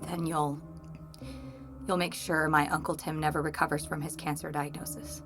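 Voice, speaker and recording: Low-voiced, hesitant female scientist. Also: level tone, music in the background. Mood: guarded, subdued. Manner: hesitant, halting, subdued. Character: uneasy, guarded female scientist. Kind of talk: audio drama acting.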